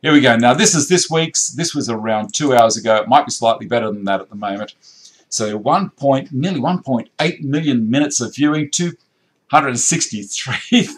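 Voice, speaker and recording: An Australian man in his fifties hosting a live stream from his workshop, reading out viewer comments in a chatty, gesturing way with frequent restarts, recorded on a webcam at desk distance. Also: mid-range voice. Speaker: woodworking channel host. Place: indoor workshop with tool racks.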